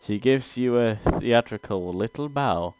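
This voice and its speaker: A man's voice: medium pitch, unhurried, a little mellow, clear, resonant tone, dry recording. A man doing a let's play, reading a text game aloud and reacting casually.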